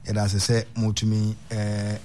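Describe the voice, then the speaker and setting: A man with a Ghanaian accent presenting a radio talk show, slowly reading out phone numbers and switching between languages. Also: on the low side, monotone, dark tone, slight background noise. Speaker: radio host.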